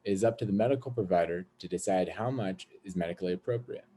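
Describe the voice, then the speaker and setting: A man's voice: deep voice, quick pace, warm tone. A man on an educational panel giving a scripted, formal explanation. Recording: clearly noisy background.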